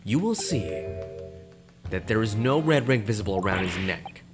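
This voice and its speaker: A man's voice: on the low side, lively intonation, moderate pace. A young man playing a courtroom game with friends, playfully reading the lawyer's lines aloud. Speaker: game player. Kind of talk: casual let's-play chat. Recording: music playing behind.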